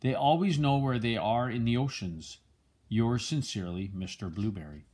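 An older man with a husky voice reads a children's picture book aloud in a gentle, measured storytelling manner. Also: medium pitch. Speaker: storybook reader, a grandfather.

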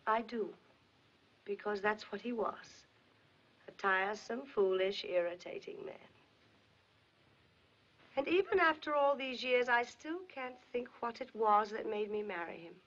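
Probing worldly wife, kinda high voice, very deliberate and pointed, dull old 50s movie audio. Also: female voice, lively intonation, a bit bright. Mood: bitter. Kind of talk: 1950s film drama acting. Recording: dull, lo-fi 1950s film soundtrack.

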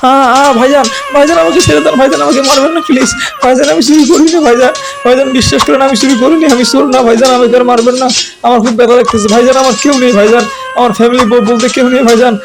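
A man's voice: extremely high pitch, fairly even intonation, rapid-fire, creaky, over music. A man with a Bangladeshi accent voicing a frightened, simple-minded orphan man who pleads and whimpers in a comedy cartoon. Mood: desperate begging. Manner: pleading, whimpering, repeatedly addressing elders respectfully.

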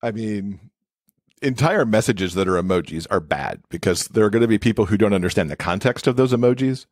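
A man's voice: on the low side, expressive, a little fast, no echo, studio quality. A man speaking as an expert podcast guest, explaining things in a casual, conversational way.

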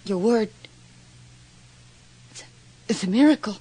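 A woman's voice: medium pitch, expressive, slow pace, dark tone, slightly rough, full character voice. An actress playing an anxious, bewildered young woman with a hesitant, stammering delivery in a radio horror drama. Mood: awed, uneasy. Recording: slightly noisy 1980s radio recording.

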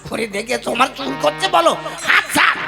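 A frantic old husband from a comic puppet play, acted by a man, pleads and wails in a very high, gravelly voice. He repeats himself melodramatically over loud accordion music. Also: brisk, heavily acted. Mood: pleading, exasperated. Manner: wailing, repetitive, melodramatic pleading. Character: frantic old husband trapped between two wives. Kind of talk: comic Bengali puppet theatre acting.

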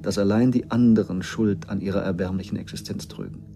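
An actor playing a courteous survivor of a destroyed world, narrating in a measured, formal way in a radio drama. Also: male voice, deep voice, fairly even intonation, vocal fry, warm tone. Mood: measured, grave. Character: courteous male witness from a destroyed planet, explaining. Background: music.

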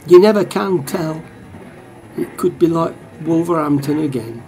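An actor playing a wistful middle-aged football supporter, musing to himself in a radio play. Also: male voice, fairly high pitch, lively intonation, a little slow, a little mellow, poor audio. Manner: reflective, talking to himself.

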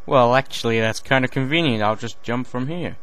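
A man's voice: medium pitch, brisk, fairly bright tone. A man voicing a flippant young hero in a casual amateur cartoon parody, in an everyday voice.

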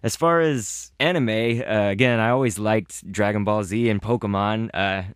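A man in his twenties speaks in a low, smooth, resonant voice, relaxed and a bit self-deprecating. The sound is dry and close, with no room around it. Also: moderate pace, no echo. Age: 20s. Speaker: fan voice actor. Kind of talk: casual interview answer.

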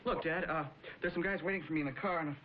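A young actor with an American accent playing an eager, impatient teenage son in a 1950s television drama. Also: male voice, on the high side, racing, close to an everyday voice. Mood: evasive. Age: young adult. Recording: muffled, noisy old TV soundtrack.

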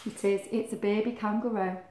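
A woman's voice: mid-range voice, steady tone, brisk, mellow, slight background noise. A middle-aged woman reading a picture book aloud to children expressively, with chatty questions and asides, in a living room. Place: small living room, indoors. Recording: roomy echo of a living room.

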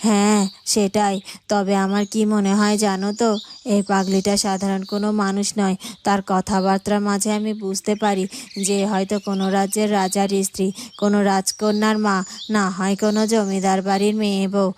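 A woman's voice: medium pitch, monotone, a little fast, a little mellow, slight background noise, lightly acted. Woman as musing, level-toned village woman. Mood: pitying, musing. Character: village woman, thoughtful and sympathetic. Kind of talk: fairytale cartoon dialogue.

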